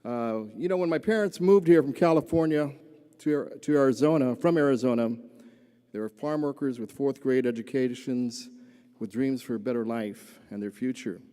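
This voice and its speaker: A man in his sixties giving a grateful, slightly halting acceptance speech. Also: mid-range voice, warm tone, smooth. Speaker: award recipient.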